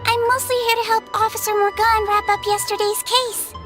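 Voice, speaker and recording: A woman's voice: very high-pitched, flat delivery, moderate pace, music in the background. A woman voicing a small, girlish, kind and earnest young nurse in a video game, speaking sweetly and politely. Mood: cheerful, reassuring. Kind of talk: animated video game voice acting.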